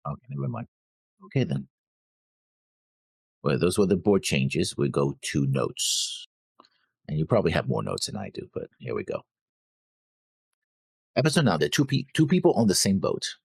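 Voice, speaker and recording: A man's voice: deep voice, wildly swooping pitch, normal speed, creaky, long pauses, husky, no echo, studio quality. A man co-hosting an anime reaction show, casually going over his notes on an episode.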